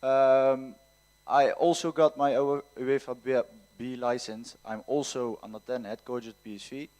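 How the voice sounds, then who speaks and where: A man's voice: mid-range voice, fairly even intonation, unhurried, a little mellow. A man, a youth football coach, introducing himself earnestly at a press conference.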